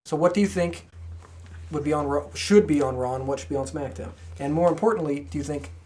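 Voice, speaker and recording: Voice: mid-range voice, fast, a little mellow. A podcast host in casual, opinionated conversation about a favourite hobby. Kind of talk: casual wrestling talk.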